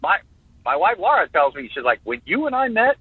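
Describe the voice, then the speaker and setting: A man in his fifties talks in an animated, joking way, often restarting his sentences. His voice sits high and swoops around, with a husky edge. It comes through a tinny, phone-like line with no room sound. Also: no echo. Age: 50s. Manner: animated, halting restarts, jokey. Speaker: guest drummer. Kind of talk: casual interview talk.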